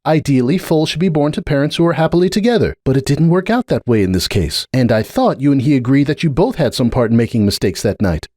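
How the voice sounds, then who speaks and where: An earnest cartoon pony mare, acted out by a male reader in a fan story reading. The voice sits well above a man's usual talk and swings widely in pitch as she argues with insistent, exasperated emphasis. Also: animated, fast, hardly any pauses, a little mellow, no echo, clean studio sound, close to an everyday voice. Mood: careful, persuasive. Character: earnest, exasperated female cartoon pony pleading her case.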